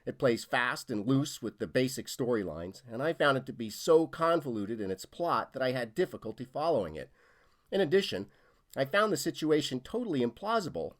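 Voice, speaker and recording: A man's voice: mid-range voice, close to an everyday voice. A man reading as a blunt studio president. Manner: formal, measured letter reading with sharp emphasis. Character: male studio president writing a formal, blunt letter. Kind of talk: radio-play style script reading.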